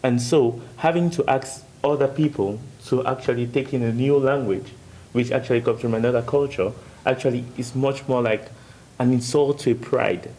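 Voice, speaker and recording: A man's voice: medium pitch, moderate pace, warm tone, rough recording. A man with a Cameroonian accent, earnestly making his case as a panelist in a discussion. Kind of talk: earnest debate argument.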